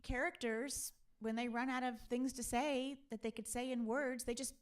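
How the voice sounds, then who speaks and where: A woman's voice: fairly high pitch, fairly even intonation, moderate pace. A woman hosting a casual live stream, chatting in a playful, conversational way. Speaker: live stream host and musician.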